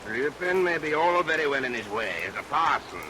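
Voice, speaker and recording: A man's voice: a little high, animated, brisk, close to an everyday voice. An actor playing a henpecked, irreverent husband and father in vintage radio melodrama, grumbling in a dry, sardonic way. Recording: vintage radio recording under rain noise.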